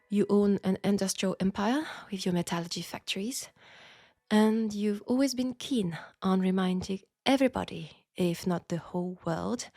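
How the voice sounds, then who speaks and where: A woman's voice: medium pitch, a little mellow, dry recording. A woman with a French accent playing a polite, formal, self-assured seamstress in a steampunk audio roleplay drama. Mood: critical, dry. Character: proud, outspoken, formal young seamstress in steampunk Paris.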